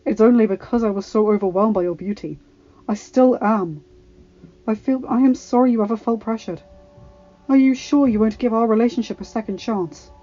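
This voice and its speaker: Smooth-talking male suitor, read by a woman. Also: fairly high pitch, moderate pace, highs cut off, natural voice. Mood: wounded, pleading. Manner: smooth, flattering. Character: smooth, persistent male senator, a spurned suitor.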